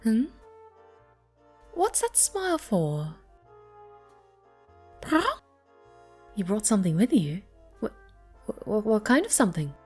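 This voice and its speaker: A woman plays a young cat girl in an unhurried ASMR roleplay, with faint music behind her. She is haughty and pouty, a spoiled brat who stammers when flustered and has a soft, needy side underneath. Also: medium pitch, big pitch swings, breathy edge, exaggerated voice. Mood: curious, haughty.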